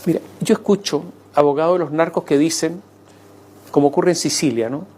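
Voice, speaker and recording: A man's voice: a little high, a little fast. Man telling a story in an interview. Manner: conversational, animated retelling with quoted voices. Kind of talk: spoken interview answer.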